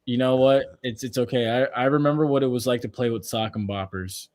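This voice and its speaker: A man in his twenties hosting a casual sports podcast chat, telling stories in an animated way. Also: medium pitch, fairly quick. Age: 20s. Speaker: podcast host.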